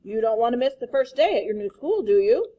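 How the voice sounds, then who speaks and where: A woman's voice: a little high, big pitch swings, brisk, mellow. A woman voicing an affectionate but firm father, cajoling his child in a storybook. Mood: coaxing.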